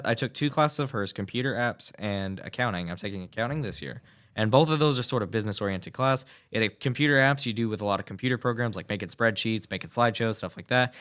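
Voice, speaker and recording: Chatty teenage boy, low voice. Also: fairly bright tone. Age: teens. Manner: rambling, many fillers and restarts. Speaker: student guest. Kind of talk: radio interview, earnest and chatty. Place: small radio studio booth.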